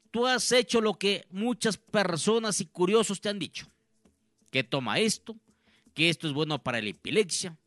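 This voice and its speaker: A man's voice: fairly high pitch, a bit bright, no echo. Earnest male radio healer, very dry sound. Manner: repetitive, earnest, preacher-like phrasing. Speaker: radio host and faith healer. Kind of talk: live radio address to listeners.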